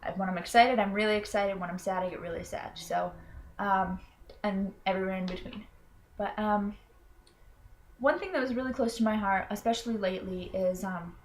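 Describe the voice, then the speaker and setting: A woman's voice: medium pitch, moderate pace, a little hiss or hum. A young woman from Florida, a college student, earnestly explaining something in a hesitant way, with frequent ums and restarts. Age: young adult. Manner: earnest and self-conscious. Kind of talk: personal explanatory video.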